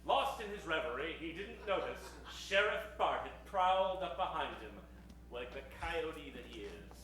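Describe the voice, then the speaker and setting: A man with a slightly high voice narrates a comic old-time radio Western in a dramatic storyteller's way. He is far from the mic in a very echoey space. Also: animated, normal speed, a bit bright. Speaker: narrator of a stage radio show. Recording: very echoey stage, distant mic.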